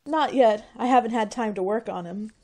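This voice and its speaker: A woman's voice: fairly high pitch, normal speed, dark tone. A woman playing an eager, naive young college girl, chirpy and gushing, in old-time radio comedy style.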